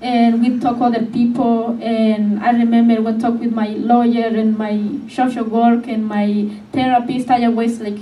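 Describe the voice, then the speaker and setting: A young woman, a native Spanish speaker, answering questions at a public event in halting English full of fillers. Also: on the high side, little pitch movement, dark tone. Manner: hesitant, filler-heavy non-native English. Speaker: author sharing her story. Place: community media event room. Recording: distant, echoey with faint noise.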